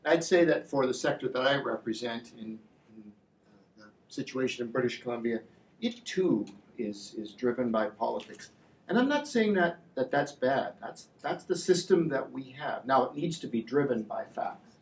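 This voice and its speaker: A middle-aged man gives a public presentation at a panel, speaking in a measured, halting way with emphatic repeats. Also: mid-range voice, lively intonation. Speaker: industry association representative, panel speaker. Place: indoor lecture room with slide screen. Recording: echoey room, distant mic, faint noise.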